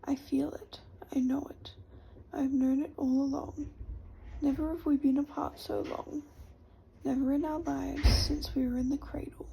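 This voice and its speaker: A woman's voice: sits high, level tone, moderate pace, vocal fry, mellow, a little hiss or hum, rough recording. A woman whispering the lines of a grieving, exhausted young woman in a storybook reading. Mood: sorrowful. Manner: whispered, sorrowful.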